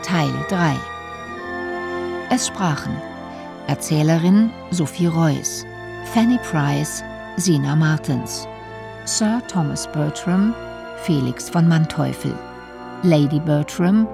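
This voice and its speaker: A woman's voice: sits low, lively intonation, slow, gravelly, pauses often, music in the background. A woman formally reading out credits as the announcer of a radio play.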